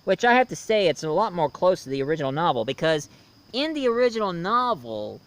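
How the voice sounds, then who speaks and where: A man in his thirties talks through a casual review as the host of his own video. His voice sits a little high and is notably smooth and clean. He speaks off the cuff, halting to find words and often repeating the start of a phrase. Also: very animated, fairly bright tone. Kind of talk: casual unscripted review talk.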